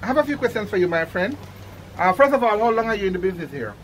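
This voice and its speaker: An enthusiastic Jamaican man hosts a casual interview. His pitch moves in a lively way and he doubles up his phrases. There is some light noise around him, as in a small shop by a street. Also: rapid-fire. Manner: enthusiastic, repeats words and phrases. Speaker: vlog host and interviewer. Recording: noisy handheld on-location recording, traffic hum.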